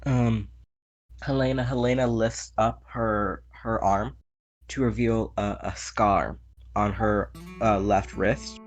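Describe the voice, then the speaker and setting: A man's voice: on the low side, steady tone, slow, faint music behind. A man narrating a character's actions in a tabletop roleplaying game.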